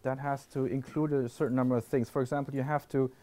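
A man's voice: medium pitch, flat delivery, fast talker, warm tone. A man on a conference panel answering audience questions, often restarting sentences with fillers. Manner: thoughtful, many restarts and fillers. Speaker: panel speaker. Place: classroom lecture hall with audience.

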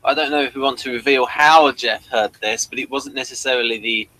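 A man's voice: medium pitch, steady tone, fairly quick, pushed and bright, low-quality recording. A man co-hosting a casual review chat, stammering through his points and asking others for their opinions. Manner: stammering, questioning, joking asides. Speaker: show co-host.

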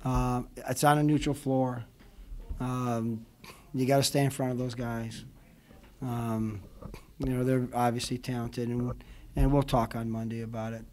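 A man's voice: medium pitch, flat delivery, measured pace, a little mellow. A man in his fifties, a college basketball head coach, speaking at a postgame press conference from a table mic, rambling and heartfelt with many fillers. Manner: rambling, emotional, many fillers like "you know what I mean". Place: indoor press room before a logo backdrop. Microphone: table mic in front of him.

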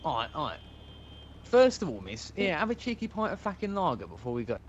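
A man's voice: a little high, wildly swooping pitch, fairly quick, lo-fi sound, a little hiss or hum. A man with a British accent voicing a brash, cheeky lad who swears constantly and talks in heavy slang. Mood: cajoling. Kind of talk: roleplay acting.

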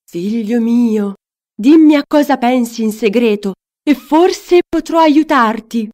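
A woman's voice: a little high, lively intonation, dark tone, pitched above the performer's own voice. A woman voicing a dramatic queen mother in a children's storybook, speaking emphatically and drawing out her words. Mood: concerned. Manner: emphatic, drawn-out.

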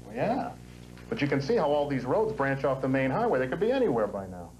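An actor playing a matter-of-fact state patrol officer in a TV movie drama. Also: male voice, mid-range voice, very animated, fairly quick, a little mellow, lightly acted, low-quality recording. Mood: businesslike. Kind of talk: 1990s TV movie drama acting.

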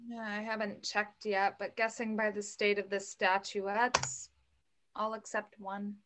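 A woman's voice: mid-range voice, level tone, unhurried, noisy background, close to an everyday voice. A young woman reading the part of a protective, wry widowed mother in a play over a video call. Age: young adult. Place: room at home. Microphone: computer mic on video call.

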